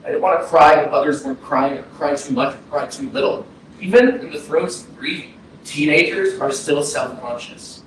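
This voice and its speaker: A man's voice: a little high, moderate pace, lightly acted. A man acting a grieving, self-conscious young man who mourns a dead friend in a poetic staged reading. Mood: numb, anxious. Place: indoor arts center stage, recorded from afar. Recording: very echoey hall, distant, faint noise.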